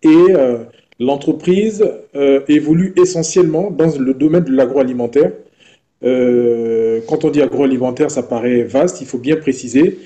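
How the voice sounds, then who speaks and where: A man in his thirties from Gabon, speaking as an interview guest in a measured way with frequent hesitations. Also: mid-range voice, mellow. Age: 30s. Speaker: entrepreneur guest. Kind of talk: interview answers.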